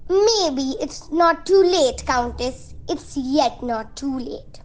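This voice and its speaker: A woman's voice: sits high, animated, slow. A woman playing a bold, fussy mother with a chatty, insistent manner in an amateur radio play. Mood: grave, defiant. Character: Doris, outspoken, fussy, bold village mother.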